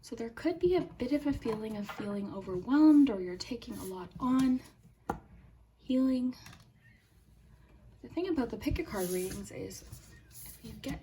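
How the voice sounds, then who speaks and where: A young woman with a slightly hoarse, sore throat giving a chatty tarot reading to her viewers, friendly and soft-spoken, sometimes dropping to a whisper. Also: medium pitch, slight background noise. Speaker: tarot reader and channel host. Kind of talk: chatty pick-a-card tarot reading to camera.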